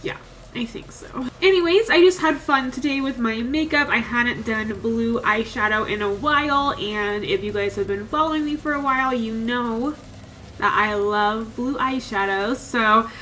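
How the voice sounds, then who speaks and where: A woman's voice: fairly high pitch, normal speed, sharp tone, a little hiss or hum, poor audio. Chatty young woman, a bit nasal. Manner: chatty and upbeat, lots of "like" and "super". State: slightly nasal from a cold. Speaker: beauty vlogger. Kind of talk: casual makeup tutorial chat. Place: small room at home with a sparkly backdrop.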